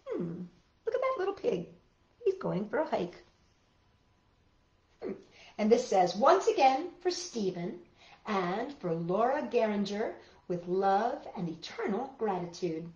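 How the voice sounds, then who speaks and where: A woman's voice: mid-range voice, very animated, unhurried, darkish tone. A woman hosting a children's storytime, reading aloud with exclamations and chatty asides addressed directly to young listeners. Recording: roomy, dull lo-fi sound, faint music.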